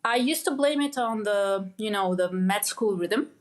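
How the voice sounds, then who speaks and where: Brief: young woman from Lebanon, guest on a podcast, mid-pitched, halting and full of fillers. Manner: halting, many restarts and "like" fillers. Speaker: guest, medical doctor. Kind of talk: conversational interview.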